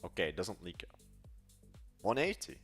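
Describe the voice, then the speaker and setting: A man's voice: low-pitched, very animated, a little slow, husky, soft background music. A young man with a Dutch accent chatting casually on a livestream, rambling and correcting himself as he goes. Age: young adult. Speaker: livestream host.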